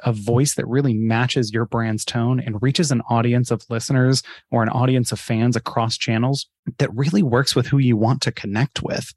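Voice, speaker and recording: A man's voice: medium pitch, gravelly, breathy edge, no echo, clean studio sound. A man speaking conversationally as an interviewed marketing expert, thinking aloud with emphasis. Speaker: podcast advertising expert being interviewed.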